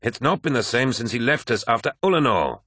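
A huge warrior captain, as a male narrator plays him, seething and anguished. His voice pushes up well above a narrator's pitch, growling and agitated, in a dry sci-fi audiobook recording. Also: very animated, a little fast, pushed and bright, dry recording. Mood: raging, bitter. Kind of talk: dramatic science-fiction audiobook dialogue.

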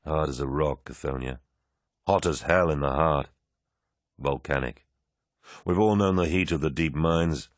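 Man putting on a roaring warlord, very deep voice, dry close sound, commanding, gruff. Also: unhurried, long pauses, no room sound. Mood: weary, gruff. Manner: roaring, then low and growling. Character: furious warlord, older male commander, commanding.

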